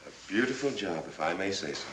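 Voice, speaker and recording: An actor playing a deferential, solicitous coffin maker in a 1940s film, soft-spoken and obliging. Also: male voice, deep voice, very animated, a little fast, music in the background. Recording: echoey 1940s film soundtrack.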